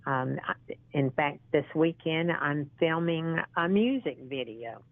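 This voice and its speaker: A woman in her sixties being interviewed as a guest, speaking in an earnest, encouraging way and often pausing with ums and restarts. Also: low-pitched, animated, no room sound. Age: 60s. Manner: halting, with frequent ums and restarts. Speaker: interview guest, model and actress. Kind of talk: casual phone interview. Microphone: phone. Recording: tinny phone line.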